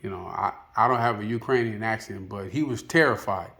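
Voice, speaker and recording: A man's voice: lowish voice, brisk, creaky, bright, forceful tone. A man giving casual, unscripted opinion commentary as a video host, rambling and hesitant, often padding with filler phrases. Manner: hesitant, rambling, peppered with "you know".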